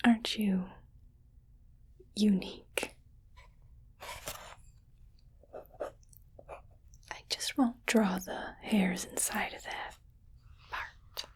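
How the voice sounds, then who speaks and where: Woman whispering as a slow, breathy, haughty alien. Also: mid-range voice, fairly even intonation. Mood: squeamish disgust. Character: wealthy female alien, condescending, fascinated yet disgusted by humans. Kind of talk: ASMR roleplay.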